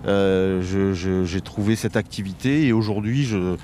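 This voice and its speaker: A man's voice: low voice, measured pace, warm tone. A man in his fifties, a guest in an interview, talking casually with many fillers and restarts. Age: 50s. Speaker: interview guest, Masonic official. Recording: faint background noise.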